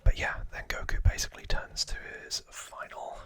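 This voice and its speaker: A man's voice: normal speed, sharp tone, no echo. A man hosting an ASMR channel, whispering an informal trivia recap with hesitant, musing asides.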